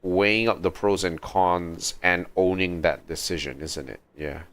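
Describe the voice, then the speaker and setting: A man's voice: sits low, steady tone, unhurried, fairly bright tone. A man giving casual livestream game commentary in musing, sparse remarks with thoughtful hums. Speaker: livestream gamer.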